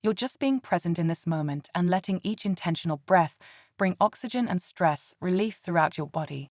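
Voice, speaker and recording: A woman leading a scripted guided meditation in a calm, soothing and gentle way. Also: fairly low pitch, normal speed, dry recording, clean studio sound.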